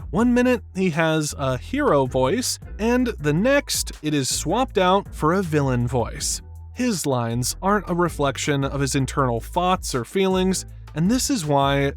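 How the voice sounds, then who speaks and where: A man reading scripted video-essay narration in an emphatic, opinionated way. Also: on the high side.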